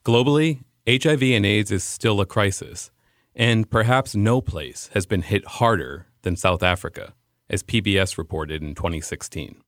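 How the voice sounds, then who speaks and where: A man hosting a podcast, giving scripted narration in a measured, earnest way. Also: lowish voice, dry recording, clean studio sound. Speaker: podcast host.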